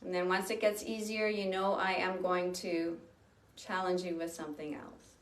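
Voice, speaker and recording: A woman with a fairly low voice leads a guided breathing practice. She talks slowly and gently, leaving long pauses between phrases. She is recorded from a little away from the mic, in a room that echoes. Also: little pitch movement, distant mic. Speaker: meditation teacher. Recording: roomy sound from off the mic.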